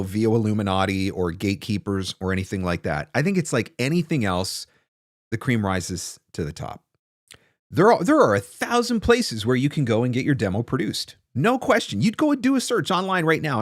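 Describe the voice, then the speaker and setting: Guy in his 40s doing a casual livestream coaching chat, lowish voice, close dry mic, kinda emphatic. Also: dry recording. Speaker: host, voice-over marketing coach. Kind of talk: casual livestream advice talk, answering comments. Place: small indoor home studio. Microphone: close desk microphone.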